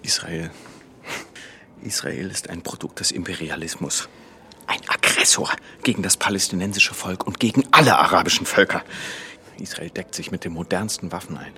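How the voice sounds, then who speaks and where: An actor playing a proud, idealistic young Egyptian engineer in a radio drama. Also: male voice, sits low, lively intonation, normal speed, pushed and bright, slight background noise, heavily acted. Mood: fervent, political.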